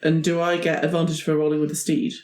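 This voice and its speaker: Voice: medium pitch, monotone, quick pace, darkish tone, a little hiss or hum. A player in a tabletop roleplaying game, casually improvising and describing their character's moves. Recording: roomy, echoey sound.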